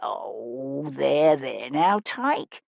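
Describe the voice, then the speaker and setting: Old woman in her sixties, storybook street musician, acted by a woman, gruff, kindly, folksy, lively intonation, dry recording. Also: lowish voice, normal speed, no room sound, lo-fi sound. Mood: gentle, consoling. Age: 60s. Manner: folksy, plain-spoken, feisty.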